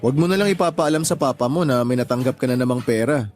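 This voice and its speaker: A man's voice: mid-range voice, quick pace, a little mellow, close to an everyday voice. A man acting in a radio drama as a supportive, easygoing young boyfriend, casual and concerned.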